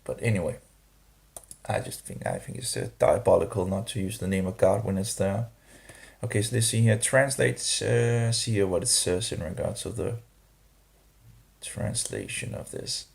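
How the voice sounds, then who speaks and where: A man talking through a rambling casual vlog, halting and full of restarts, with grumbling disapproving asides. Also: low-pitched, lively intonation, gravelly. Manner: hesitant, halting, many restarts and fillers. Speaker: vlogger.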